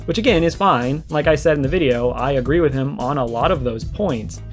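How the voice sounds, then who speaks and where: A man's voice: a little high, fairly quick, faint music behind. A young man giving conversational, argumentative video commentary, explaining his points and restating them for emphasis. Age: young adult. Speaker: video essayist responding to a streamer.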